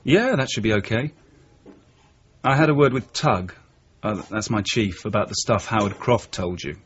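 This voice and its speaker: An actor playing an earnest, steady detective sergeant in a radio crime drama, measured and questioning in manner. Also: male voice, on the low side, breathy edge. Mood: kindly. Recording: muffled 1980s radio drama recording.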